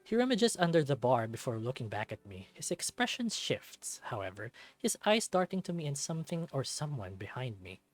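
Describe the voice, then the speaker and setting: A man's voice: mid-range voice, very animated, moderate pace, hardly any pauses, soft background music. A man reading a first-person story aloud in a dramatic narrating style, as for a visual novel.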